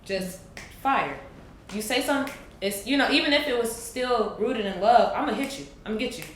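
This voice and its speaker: A woman in her late twenties, talking thoughtfully and deliberately in a casual group conversation, with emphatic bursts. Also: a little high. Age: 20s. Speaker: podcast guest. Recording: echoey room with faint noise.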